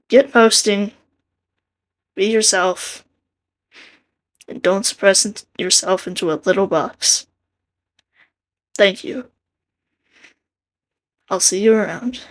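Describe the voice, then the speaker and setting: A soft-spoken young woman with a quiet voice, giving earnest casual commentary and laughing between thoughts. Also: medium pitch, slow pace, pauses often. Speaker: art commentary video maker. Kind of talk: casual commentary over a speedpaint.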